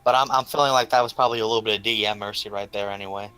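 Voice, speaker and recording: A man with a fairly low but bright, forward voice. He talks in casual, slangy fashion as a tabletop game player, with faint music underneath. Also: fairly even intonation, very fast, smooth.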